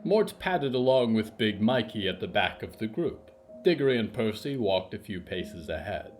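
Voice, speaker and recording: A man's voice: fairly low pitch, sing-song, normal speed, a little mellow, faint music behind. A man narrating a scripted fiction story as its storyteller.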